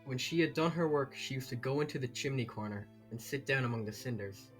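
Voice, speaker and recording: An actor playing an earnest, dreamy young kitchen worker in a children's audio drama, mild in manner. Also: male voice, medium pitch, fairly quick, soft background music, lightly acted, low-quality recording. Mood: absorbed reading.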